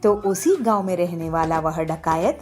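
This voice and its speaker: A woman's voice: lowish voice, animated, unhurried, a little mellow. A woman storyteller giving scripted narration for a cartoon moral story. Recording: music behind the voice.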